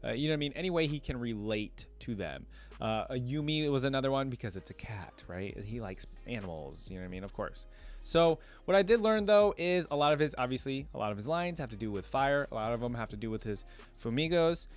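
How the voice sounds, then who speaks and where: A man's voice: mid-range voice, animated, fairly quick, soft background music. A man giving casual, rambling reaction commentary as a video host, often checking in with the listener. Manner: rambling, checks in with "right?" and "you know".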